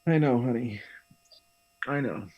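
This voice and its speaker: A man's voice: medium pitch, a little slow, narrow, tinny audio, close to an everyday voice. A man acting as an affectionate, apologetic husband in a radio drama. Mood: apologetic, soothing. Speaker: actor.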